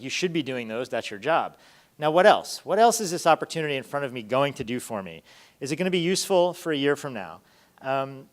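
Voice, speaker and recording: A man presenting an informal conference talk as a research lead, conversational, often checking in with rhetorical questions. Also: medium pitch.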